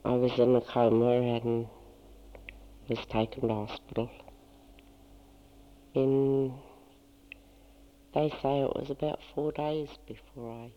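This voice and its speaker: A man's voice: medium pitch, flat delivery, takes it slowly, long pauses. A man recounts something that happened to him, in a plain, matter-of-fact way. His voice sits in a middle range. The recording sounds dull and lo-fi, like an old archive tape. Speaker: interviewee. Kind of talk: personal recollection.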